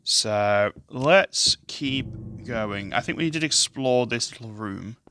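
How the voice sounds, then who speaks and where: A man with a very deep, bassy voice, hosting casual let's-play commentary on a gaming headset mic, chatty and self-deprecating. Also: animated, takes it slowly, sharp tone, a little hiss or hum. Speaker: gaming channel host. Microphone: gaming headset with adjustable boom mic. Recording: game music playing under voice. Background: game music and sounds.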